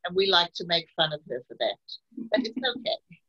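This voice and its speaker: Host: woman in her sixties, bright and husky, chatty, on dull lo-fi video-call audio. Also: on the low side, big pitch swings, a little fast. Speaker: interviewer host. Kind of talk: online interview.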